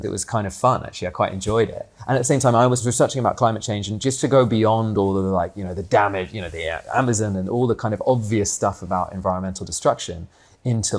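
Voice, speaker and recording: A low-pitched man with a gravelly, creaky edge to his voice. He is a guest in a conversational interview, in his twenties and originally from Hong Kong, talking candidly and with animation. He leans on casual fillers and the odd swear word as he reflects. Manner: candid and animated, frequent fillers like "like" and "you know", mild swearing. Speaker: guest, co-op founder.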